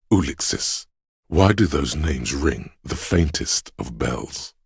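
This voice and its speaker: A man playing the pretentious, wry inner voice of an art-loving detective in a game, narrating in a theatrical, deliberate, literary manner. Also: fairly bright tone, no echo, studio quality, full character voice. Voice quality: very deep, gravelly, husky. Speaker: game narrator voicing a character's thoughts.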